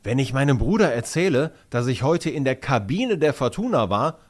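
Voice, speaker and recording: A man voicing an excited young schoolgirl who whispers. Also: medium pitch, normal speed, voice pushed up.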